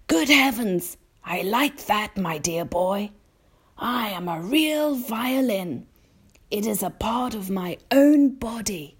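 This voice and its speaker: A woman voicing a gentle, courteous old male grasshopper from a storybook, proud and old-fashioned in manner, lecturing without hurry. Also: mid-range voice, animated, slow, husky, pitched above the performer's own voice. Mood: delighted, genial.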